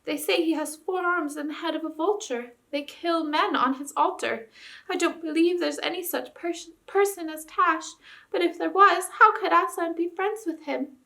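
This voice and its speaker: A woman doing a tiny pleading lamb, very high. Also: moderate pace, creaky, sharp tone, full character voice. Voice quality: high, thin voice. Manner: pleading, earnest. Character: very young timid male lamb.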